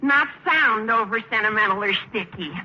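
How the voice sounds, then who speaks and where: A man playing an earnest, gushing, tactless high school boy in a radio sitcom, with a cracking adolescent voice and flowery phrasing. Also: normal speed, bright, forceful tone, full character voice. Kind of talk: 1950s radio sitcom acting. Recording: dull, noisy vintage radio recording.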